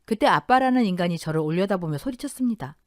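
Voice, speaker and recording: A woman's voice: on the low side, expressive, fast, no echo, studio quality. A woman in her thirties reading a first-person family revenge story as a scripted narration, telling it in a dramatic, emotional way.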